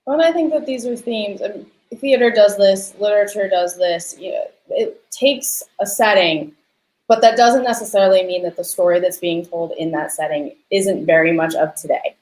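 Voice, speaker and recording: A woman's voice: medium pitch, normal speed, darkish tone. Woman host with a roomy echo. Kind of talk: conversational discussion. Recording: roomy, echoing sound.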